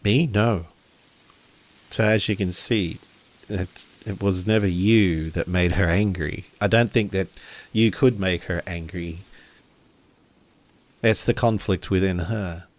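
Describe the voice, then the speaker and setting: A man voicing an earnest, polite samurai-era companion in a tabletop game, halting and stammering as he speaks. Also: low voice. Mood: earnest reassurance.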